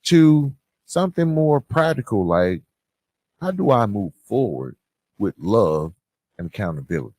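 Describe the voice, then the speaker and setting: A man's voice: lowish voice, big pitch swings, unhurried, a little mellow, no echo. A man hosting a podcast, speaking in a conversational, motivational way and addressing listeners directly, leaning on words for emphasis. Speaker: podcast host.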